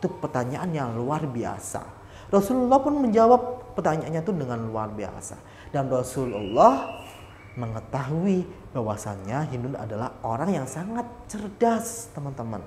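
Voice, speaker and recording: A woman telling a religious story to children, animated and speaking directly to her young listeners. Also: very deep voice, normal speed, slight background noise. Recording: echoey hall reverb.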